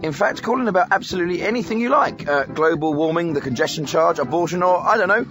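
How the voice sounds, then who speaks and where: An actor playing a glib, patronising radio host presenting a spoof phone-in show. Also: male voice, on the high side, expressive, fast, music in the background, natural voice. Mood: breezy, earnest. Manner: patronising, breezy, dismissive.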